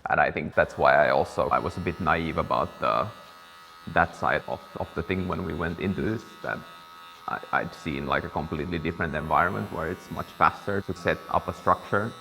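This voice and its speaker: A young man from Finland, chatting casually in a barber shop and pausing to find his words. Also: low-pitched, gravelly, sharp tone, a little hiss or hum. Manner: thoughtful, searching for words. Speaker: guest, business partner. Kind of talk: casual conversation. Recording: reverberant room, faint ambience.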